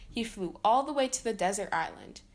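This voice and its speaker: A woman's voice: mid-range voice, animated, brisk. A woman reading a children's picture book aloud as a storytime reader.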